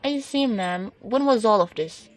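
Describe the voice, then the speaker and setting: A woman's voice: on the high side, expressive, unhurried, dry recording. A young woman acting in a student radio drama as a placating, dismissive police officer on duty. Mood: businesslike.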